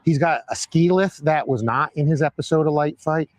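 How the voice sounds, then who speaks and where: A hoarse man hosting a live-stream interview outdoors, upbeat and fond of repeating phrases for emphasis. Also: a little high, normal speed, no room sound. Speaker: host. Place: outdoors at a Christmas light display by a road.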